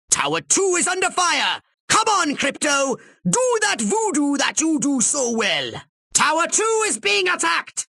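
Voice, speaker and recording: An actor playing a pompous, scheming alien commander in a video game, theatrical and scolding with a raspy voice. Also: male voice, very high-pitched, sharp tone, no room sound, studio quality, full character voice. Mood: alarmed, shouting. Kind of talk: video game character voice lines.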